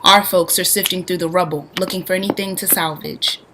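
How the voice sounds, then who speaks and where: A woman's voice: fairly low pitch, fairly even intonation, brisk, noisy background. A woman reading stage directions as scripted narration for a play.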